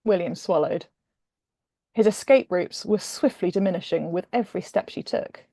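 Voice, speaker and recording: A woman author reading her humorous romance novel aloud in expressive, dramatic narration with deliberate pauses. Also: on the low side, dry recording, clean studio sound.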